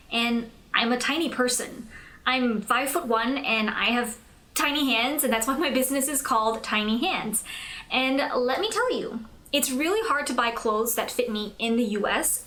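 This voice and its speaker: A young woman from Malaysia hosting a tutorial, speaking in a scripted but conversational, chatty and explanatory way with clear emphasis. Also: a little high, bright, forceful tone. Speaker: host of a business tutorial channel.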